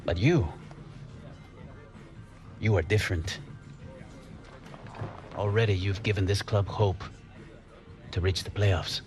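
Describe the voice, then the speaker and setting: A man playing a serious football coach, speaking in a deliberate, formal way. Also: low voice, darkish tone, soft background music. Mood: measured praise. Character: measured, serious older male football coach.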